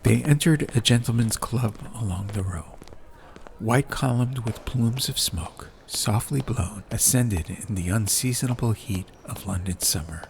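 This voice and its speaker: A man's voice: fairly low pitch, measured pace, creaky, darkish tone, a little hiss or hum. A man reading a scripted fantasy story as an audiobook narrator. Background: ambience.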